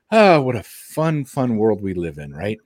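A man's voice: lowish voice, big pitch swings, slow pace, a little mellow, no echo, clean studio sound. A man chatting casually as a talk show co-host, with a joking, wry manner. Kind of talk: casual chat.

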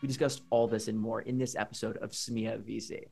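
A man hosting a podcast, introducing the episode and asking guests questions in a brisk, upbeat way. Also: lowish voice, level tone, creaky, darkish tone, faint music behind. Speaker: podcast host.

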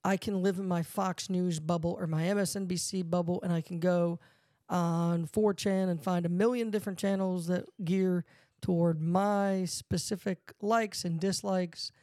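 A man's voice: high-pitched, fairly even intonation, normal speed, a little mellow, no echo, studio quality. A man co-hosting a casual podcast, playful and riffing with jokes between points.

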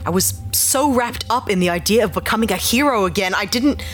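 A woman's voice: mid-range voice, animated, fairly quick, fairly bright tone, soft background music, lightly acted. An actress playing a resolute, guarded woman who leads a sci-fi crew, terse and practical in an audio drama. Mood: remorseful.

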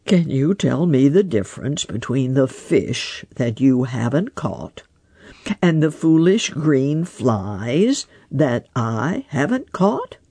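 A wise old frog, voiced by a storybook reader, mild and unhurried, drawing the listener along with calm questions. The voice sits somewhat above the reader's own and moves slowly. Gravelly and close, with a dry sound. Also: mid-range voice, dry recording, heavily acted.

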